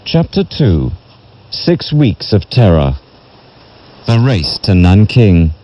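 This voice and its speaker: A man reading a history book as a narrator in a measured, solemn way, heard over a shortwave radio broadcast. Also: fairly low pitch, sing-song, warm tone, muffled recording. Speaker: audiobook narrator. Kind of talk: scripted literary history narration.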